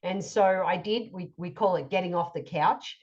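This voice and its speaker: A woman in her thirties with a husky voice, telling her story with quick enthusiasm as co-host of a casual podcast. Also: on the low side, darkish tone. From Australia. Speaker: co-host and author. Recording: dull lo-fi audio.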